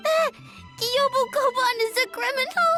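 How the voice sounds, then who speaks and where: Squeaky, very high little creature. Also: female voice, sharp tone, faint music behind. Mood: puzzled. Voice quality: squeaky, childlike. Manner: halting, broken simple phrases. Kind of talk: video game character acting.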